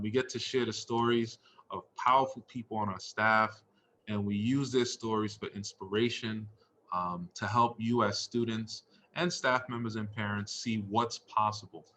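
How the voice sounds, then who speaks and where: A man's voice: fairly low pitch, fairly bright tone, slight background noise. A man hosting an interview series, speaking in an encouraging, empathetic way to his guest and listeners. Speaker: interviewer and host of a school staff story series.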